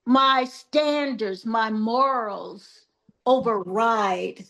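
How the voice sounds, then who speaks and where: An older woman guest on a podcast, talking in a soft-spoken, measured and earnest way, with many pauses and fillers. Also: a little high, vocal fry. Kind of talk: reflective interview talk.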